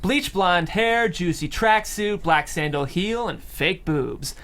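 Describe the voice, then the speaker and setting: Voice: mid-range voice, animated, slow pace, a bit bright. A narrator reading out stage directions in a scripted style at a comedy table read.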